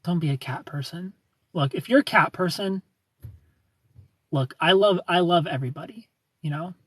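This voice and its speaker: A man in his thirties hosts a casual livestream, greeting viewers and reading their comments aloud. He jokes at his own expense and laughs often. His voice is slightly raised in pitch and a little husky. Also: moderate pace, fairly bright tone, no room sound. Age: 30s. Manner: joking, self-mocking, laughs often. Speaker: livestream host.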